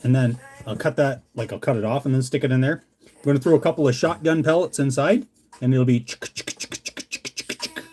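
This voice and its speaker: A man's voice: medium pitch, fast talker, a little mellow, husky. A Canadian man hosting a casual livestream, rambling in a laid-back, folksy way as he chats with viewers. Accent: Canadian English. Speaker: livestream host, hobbyist lure maker. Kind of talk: casual chat with live viewers.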